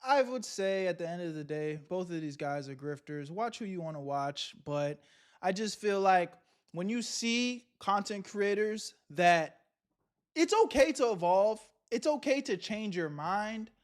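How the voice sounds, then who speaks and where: A young man streaming live, chatting casually with his viewers in loud, laughing, slang-heavy banter. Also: high voice, lively intonation, unhurried. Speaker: streamer reacting to videos.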